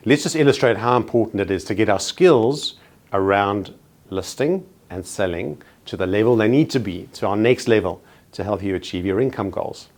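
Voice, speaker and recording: A man with a South African accent coaches real estate agents in a motivational training talk. His voice sits a little low, and he drives home each point with short paired contrasts, slowing on the key words. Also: a little mellow.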